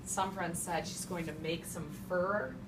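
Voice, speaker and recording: A woman teacher reading a storybook aloud to young children, pausing to question them and repeat their answers back. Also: fairly low pitch, fairly even intonation. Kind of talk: interactive storybook read-aloud with class questions. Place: classroom with children. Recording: roomy, distant, clearly noisy classroom recording.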